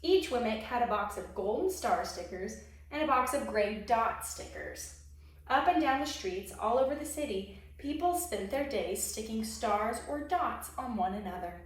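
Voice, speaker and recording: A woman reading a children's story aloud expressively, pausing to put questions to young listeners. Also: a little high, animated. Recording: very echoey room, distant microphone.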